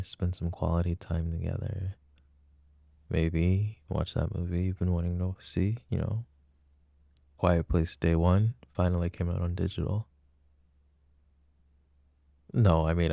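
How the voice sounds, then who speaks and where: Guy playing a sweet jealous boyfriend, super low gravelly voice, soft and casual, asmr roleplay. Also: dry recording, studio quality. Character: apologetic jealous boyfriend, tender, playful, adoring. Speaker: voice actor.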